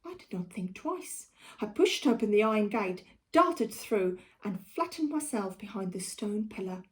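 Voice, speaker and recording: A South African woman reading a storybook aloud for children like a schoolteacher, with deliberate, expressive delivery. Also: mid-range voice. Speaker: primary school teacher.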